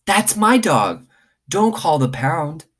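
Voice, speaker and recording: A man's voice: on the high side, big pitch swings, takes it slowly, a bit bright, voice pushed up, heavily acted. A man voicing an earnest, plucky young girl in a children's storybook, eager and polite. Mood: urgent.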